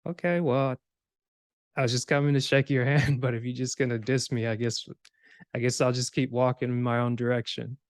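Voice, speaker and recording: Male podcast host, informal and rambling, narrow pitch range, very dry recording. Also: fairly even intonation, a little fast, dry recording. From Cameroon, grew up in Georgia, USA. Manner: informal, rambling, acts out imagined remarks. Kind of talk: casual podcast conversation.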